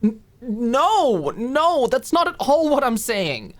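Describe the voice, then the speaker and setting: A woman voicing a guarded, depressed young woman in an audiobook drama, halting and evasive in manner. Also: high-pitched, sing-song, no room sound, pitched above the performer's own voice, heavily acted. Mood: flustered, defensive. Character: Alicia, guarded, depressed young woman hiding vulnerable feelings. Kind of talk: dramatic audiobook character acting.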